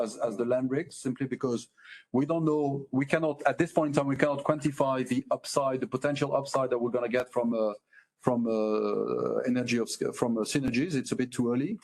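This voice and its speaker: A man answering analysts as a company executive on an earnings call, unscripted, with halting and repetitive phrasing. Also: mid-range voice, fairly even intonation, a little mellow.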